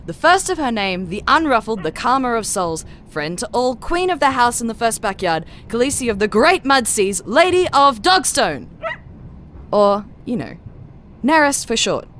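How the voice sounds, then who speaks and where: An actress playing a cheerful, affectionate dog lover leaving a playful voicemail in an audio drama. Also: female voice, a little high, sing-song, moderate pace, a bit bright. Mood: excited, playful. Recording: clear background ambient noise.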